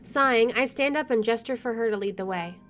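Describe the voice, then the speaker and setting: A woman's voice: fairly high pitch, brisk, fairly bright tone, soft background music. A woman hosting a gaming video, reading visual novel narration aloud with casual asides.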